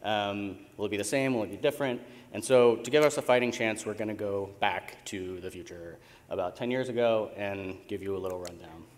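An American man speaks as a keynote presenter on a conference stage. His voice sits fairly low, and his delivery is informal and a little hesitant, dotted with ums. The sound carries the long reverb of a big hall. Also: brisk. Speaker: conference keynote speaker, tech company co-founder.

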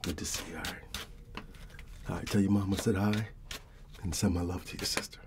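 A middle-aged American man speaking softly and often in a whisper, in a casual, avuncular ASMR roleplay as a barber. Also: low voice, fairly even intonation, background noise. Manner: unhurried, casual, avuncular reassurance. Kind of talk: soft-spoken ASMR personal attention roleplay.

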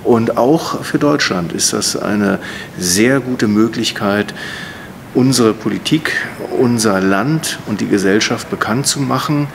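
A middle-aged man with a creaky, gravelly voice delivers a political statement to camera. He builds his sentences in measured, hesitant chunks over clearly audible rumbling background noise. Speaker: member of parliament. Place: indoors, in front of banner wall.

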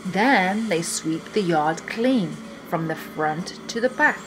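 A young woman reading a children's storybook aloud in an expressive style, with deliberate, emphatic phrasing. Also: lowish voice, background noise. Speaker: children's book reader.

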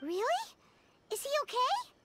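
A woman voicing a tiny, excitable, childlike fairy companion in an anime-style game, blunt and fretful. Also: unhurried, over loud music, full character voice. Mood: curious, worried. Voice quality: squeaky, piping.

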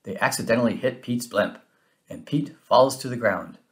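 A man reading a children's story aloud in an expressive, animated way with dramatic emphasis. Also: medium pitch, normal speed. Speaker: storybook reader. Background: music.

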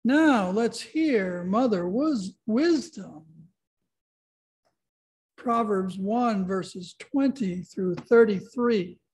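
A man's voice: sits high, extremely slow, darkish tone, poor audio. A man in his sixties speaking as a pastor leading worship and scripture in a church sanctuary.

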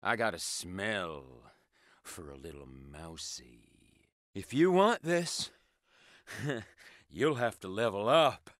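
A man's voice: fairly low pitch, lively intonation, slow, dry recording, studio quality. An actor playing a sadistic, gloating male villain in a video game, taunting his prey with menacing glee. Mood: soft, sinister taunting. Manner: taunting, gleeful, menacing, swinging from murmurs to shouts. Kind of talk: video game combat voice lines.

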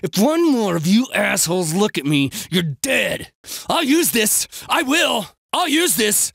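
A man's voice: high voice, very animated, measured pace, vocal fry, fairly bright tone, breathy edge, no echo, clean studio sound, full character voice. An actor voicing a jumpy, crude, sarcastic male bystander in a video game, with slangy, over-the-top delivery. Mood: threatening. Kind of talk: exaggerated game bark lines, each repeated.

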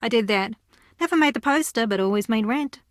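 A woman's voice: a little high, lively intonation, a little fast, no room sound, studio quality, lightly acted. A woman voicing a scheming, theatrical young female reality-show contestant in a video game, sassy, boastful and combative. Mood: wry, casual.

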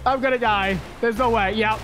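A man's voice: sits high, fairly even intonation, moderate pace. A man chatting casually with friends as he plays, exclaiming and complaining. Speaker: gamer playing co-op zombie game. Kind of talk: casual gameplay banter with friends. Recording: game music clearly behind voice. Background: game music.

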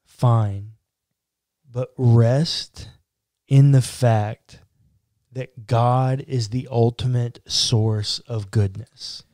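A young pastor speaking slowly and earnestly on a podcast. His voice is mellow and relaxed, and he weighs his words with care. He is recorded dry on a close boom-arm mic in a small room. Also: no echo. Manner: measured, earnest, reflective. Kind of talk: casual chat. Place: small home studio room.

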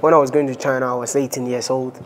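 A man's voice: medium pitch, fast, slight background noise. A young man from Ghana with a Ghanaian accent, telling his story animatedly as an interview guest at a restaurant table. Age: young adult. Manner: animated storytelling, gestures while talking. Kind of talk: casual interview chat. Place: indoor dining room at a restaurant table.

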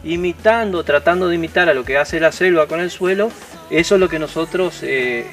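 A man's voice: fairly high pitch, fairly quick, fairly bright tone. A man in his forties with an Argentine accent, a nature guide explaining plants patiently with frequent hesitations, recorded outdoors on a forest trail with a lapel mic. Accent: Argentine Spanish. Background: music.

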